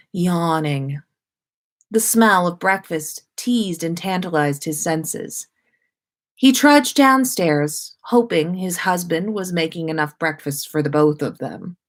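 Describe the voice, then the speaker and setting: A woman narrates a story aloud. Her voice sits a little low for a woman, and she reads slowly and expressively, as a story-time host would. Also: lively intonation. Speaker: host reading listeners' stories on a livestream.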